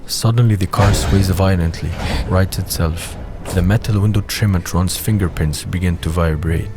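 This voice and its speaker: Deep-voiced man narrating an audio drama. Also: normal speed. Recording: faint background noise.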